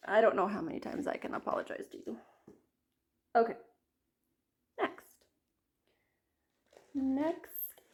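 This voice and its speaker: Chatty woman with a croaky cold voice. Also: a little high. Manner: chatty, rambling, apologetic. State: fighting a cold, a little croaky. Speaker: cross-stitch vlogger. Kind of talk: casual chat to camera.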